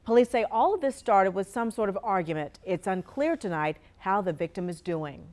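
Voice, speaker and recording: A woman's voice: mid-range voice, expressive, moderate pace, a little mellow. A woman with an American accent reading scripted television news as an anchor.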